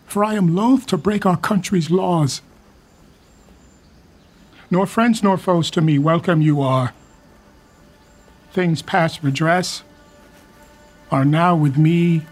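A weary old royal uncle, torn between loyalty and law, speaks slow, husky Shakespearean verse. His voice sits somewhat high and he takes his time over each line. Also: full character voice. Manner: measured, declamatory verse speaking. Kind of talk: Shakespearean stage acting for radio.

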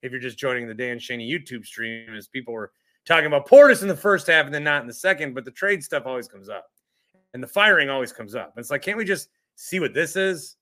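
A man's voice: medium pitch, lively intonation, quick pace, fairly bright tone. A man hosting a casual sports podcast stream, joking and chatty, poking fun at himself. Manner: joking, self-deprecating, chatty. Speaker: podcast host.

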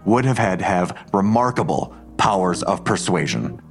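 Menacing, very low-voiced male detective. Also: animated, moderate pace, faint music behind. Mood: cold, probing. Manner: pressing, accusatory, pointed questioning. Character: menacing, suspicious male police detective interrogating a woman. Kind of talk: audio drama acting.

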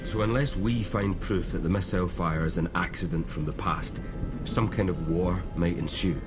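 A plain-spoken, bewildered man out of his own time played by an actor, with a fairly low voice. He questions things incredulously in a sci-fi audio drama, with clear music behind. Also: moderate pace, close to an everyday voice. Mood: uneasy, puzzled.

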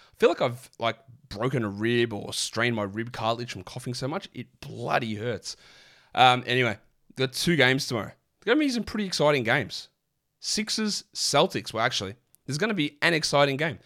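A man, sick and croaky, hosting a casual basketball podcast with lots of rambling restarts. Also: medium pitch, very animated, moderate pace, fairly bright tone. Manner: rambling, chatty, many fillers and restarts. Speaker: fantasy basketball podcast host. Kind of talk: casual solo podcast talk.

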